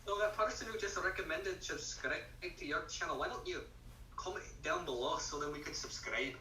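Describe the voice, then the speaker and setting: A young man in casual, halting chat as a guest on a friend's voice-call live stream. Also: a little high, bright, forceful tone. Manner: rambling, halting, restarts phrases. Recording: echoey room, far from the mic.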